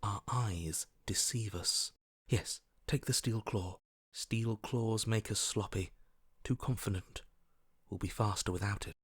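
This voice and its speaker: An actor playing a wary, primal survivor's inner voice in a video game, speaking in terse, watchful phrases. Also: male voice, lowish voice, unhurried, husky, dry recording, studio quality. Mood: wary, focused. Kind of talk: video game character voice acting.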